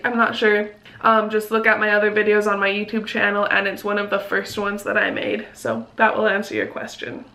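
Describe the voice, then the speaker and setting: A woman's voice: on the high side, a bit bright. A young woman from Mexico chatting casually in a vlog, reading out viewer questions and answering them, with lots of "like" fillers. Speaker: vlogger.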